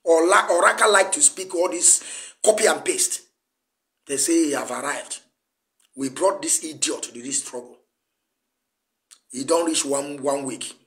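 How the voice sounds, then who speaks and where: A man's voice: a little high, measured pace, pauses often, pushed and bright, poor audio. A Nigerian man in his forties whose first language is Igbo, giving an emphatic, lecturing political address to camera from a small room. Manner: emphatic, lecturing, gesturing a lot. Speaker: activist commentator.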